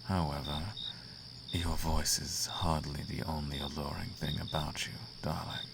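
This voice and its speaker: A man playing a seductive, imperious demon prince in a flirtatious roleplay ASMR, speaking in a courtly, teasing manner with a creaky low voice. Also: flat delivery, a little slow, very noisy, full character voice. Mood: seductive, indulgent.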